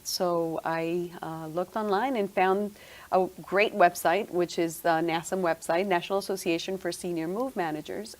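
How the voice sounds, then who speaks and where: A middle-aged woman from Venezuela, answering interview questions in a chatty way with frequent fillers and restarts. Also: on the low side. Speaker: guest, senior move manager.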